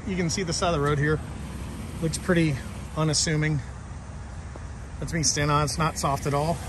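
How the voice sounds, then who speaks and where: A man's voice: a little high, level tone, moderate pace. An American man chatting casually and narrating a road trip vlog in a laid-back, joking, folksy way. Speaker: travel vlogger. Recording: noisy phone audio with vehicle and outdoor noise.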